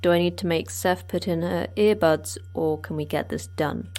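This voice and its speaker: An actress playing the terse, deadpan manager of a secret research facility in an audio drama. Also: female voice, lowish voice, normal speed, soft background music, close to an everyday voice. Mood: brisk, curt. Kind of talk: audio fiction acting.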